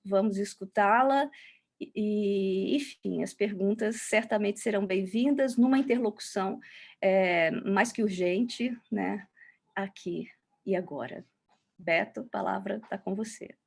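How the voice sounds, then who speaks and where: A woman's voice: medium pitch, measured pace, gravelly, a little mellow. A woman with a Brazilian accent introducing a speaker as moderator on an online call, searching for her words. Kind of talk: academic introduction, unscripted.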